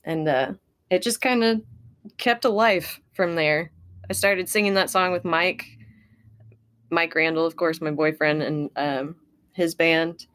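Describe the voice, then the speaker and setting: Young American woman, lowish voice, very dry sound, casual interview guest. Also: a little slow, fairly bright tone, no echo. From Ocala, Florida, United States. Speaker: guest, singer-songwriter.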